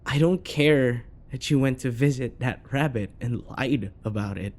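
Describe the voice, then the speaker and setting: A man playing an unhinged, possessive yandere predator lover in ASMR roleplay, often slipping into breathy whispers and a menacing croon. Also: mid-range voice, measured pace, close to an everyday voice. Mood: wounded, pleading.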